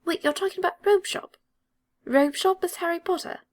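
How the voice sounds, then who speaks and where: A woman's voice: very high-pitched, moderate pace, voice pushed up. A woman voicing a clever, aloof schoolboy in an audiobook, cool, dry and matter-of-fact. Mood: puzzled.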